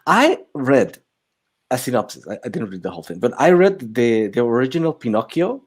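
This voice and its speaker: A man's voice: medium pitch, very animated, brisk, slightly rough. A man co-hosting a casual film chat, telling stories in a loose, rambling way peppered with filler phrases. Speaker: co-host of a film chat livestream.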